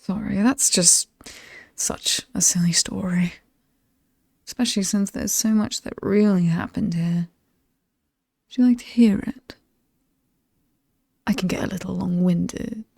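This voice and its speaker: A woman with a British accent playing an alluring, possibly demonic lady of a dark estate, breathy and often whispering, teasing and coolly menacing in a horror ASMR roleplay. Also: medium pitch, fairly even intonation, dry recording, clean studio sound. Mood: amused, dismissive. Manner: slow, teasing, coolly amused and aristocratic. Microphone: close, dry microphone.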